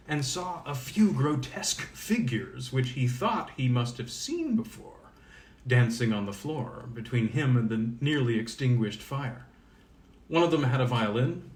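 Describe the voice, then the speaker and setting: A man's voice: mid-range voice, roomy sound, recorded from a distance. A man speaking as an informal storyteller, reading and introducing old tales.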